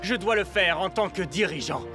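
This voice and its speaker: An actor voicing an eager, theatrical young prince in a cartoon dub, grandiose and excitable. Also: male voice, high-pitched, moderate pace, over music, lightly acted.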